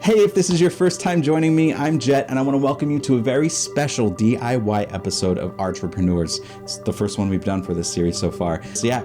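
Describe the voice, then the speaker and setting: A man hosting a DIY show, narrating a scripted tutorial in an upbeat, playful way with chatty asides. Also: on the low side. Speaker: host of a DIY creator show.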